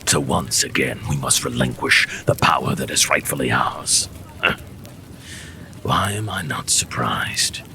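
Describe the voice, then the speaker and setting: A man's voice: rumbling low, measured pace, noisy background. A man playing a proud, defiant, power-hungry tyrant in a fantasy audio drama, with a growling, sneering, imperious delivery. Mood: scornful, bitter. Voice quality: gravelly, growling.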